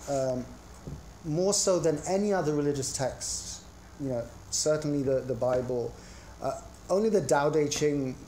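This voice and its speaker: Mellow, halting Englishman giving a talk. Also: medium pitch, a little hiss or hum, poor audio. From England. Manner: hesitant, halting, reflective. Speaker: conference speaker. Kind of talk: academic talk on religion, partly improvised. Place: indoor conference presentation.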